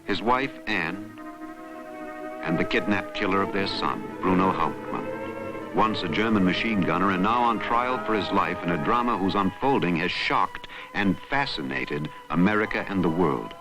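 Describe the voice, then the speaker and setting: Low-voiced male documentary narrator over music. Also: lively intonation. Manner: measured, dramatic storytelling delivery. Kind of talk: scripted dramatic documentary narration. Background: music bed.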